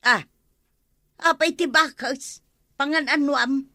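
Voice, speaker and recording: Bright, nosy Ilocano village woman. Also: high voice, slow, no room sound, full character voice. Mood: puzzled. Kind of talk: radio comedy drama acting.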